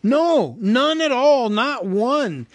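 A man's voice: very high-pitched, sing-song, extremely slow, dry recording, pitched above the performer's own voice, full character voice. A man voicing a small, frightened male hobbit who stammers and pleads breathlessly. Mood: startled.

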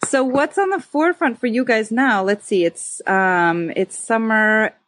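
A woman from Sweden hosting a podcast interview, speaking English with a Chicago accent tinged with Swedish, chatty and quick to laugh at herself. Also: on the high side, a bit bright. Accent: Chicago English with a slight Swedish tinge. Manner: chatty, giggly, self-deprecating. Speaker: podcast host. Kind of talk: casual interview chat.

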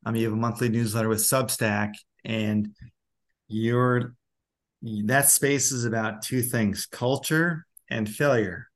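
A man's voice: mid-range voice, steady tone, slow. Male interviewer, mid-range voice, casual and conversational. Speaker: interviewer and host.

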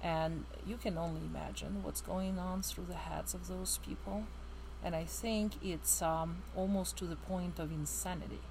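A woman from central Ukraine, a guest in an interview, explaining things in a measured way. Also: fairly low pitch, fairly even intonation, noisy background. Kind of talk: casual interview conversation.

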